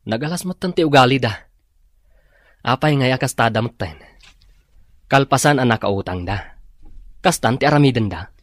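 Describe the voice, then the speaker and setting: A man's voice: medium pitch, lively intonation, normal speed, long pauses, no echo, close to an everyday voice. An actor playing a mildly grumbling, disapproving man in a radio drama.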